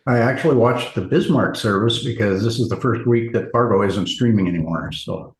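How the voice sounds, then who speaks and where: Lower-voiced man in an echoey room. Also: a little fast. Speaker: co-host of a church chat show. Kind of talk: casual chat. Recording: roomy, echoing sound.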